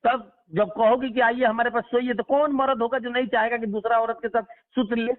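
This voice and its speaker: High-pitched Bhojpuri-accented man on a phone. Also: little pitch movement, fairly quick. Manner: blunt, scornful, ranting. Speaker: phone interview guest. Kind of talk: opinionated phone conversation. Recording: tinny narrow-band phone line.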